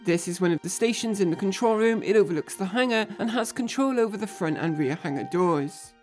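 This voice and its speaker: A woman's voice: medium pitch, fairly quick, a little mellow. A woman hosting a gaming video, speaking in a narrator's style as she recounts and takes part in online roleplay. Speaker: video host and trainee air traffic controller in a game org. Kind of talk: scripted voice-over narration with some in-game roleplay talk. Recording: music behind the voice. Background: music.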